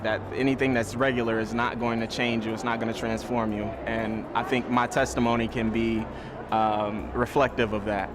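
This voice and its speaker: A young man giving a reflective testimonial about his study abroad program, often pausing and restarting while he searches for words. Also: mid-range voice, background noise. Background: music.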